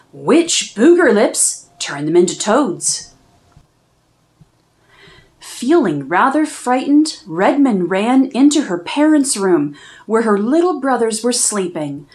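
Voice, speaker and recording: Slow, lively storybook reader, woman. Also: a little high. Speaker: children's book reader. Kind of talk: storybook read-aloud.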